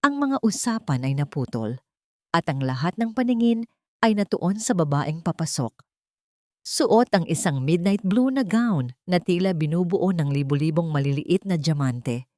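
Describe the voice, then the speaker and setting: A woman's voice: fairly low pitch, lively intonation, normal speed, darkish tone, no echo, studio quality. A woman with a Filipino accent reading a dramatic scripted story as an audiobook narrator.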